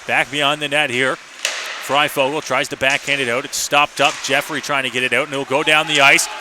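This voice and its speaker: A man's voice: medium pitch, little pitch movement, fairly quick. A man doing live ice hockey play-by-play for radio, describing the action in clipped running phrases. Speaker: play-by-play announcer. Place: ice rink, live broadcast position. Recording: noisy live arena broadcast.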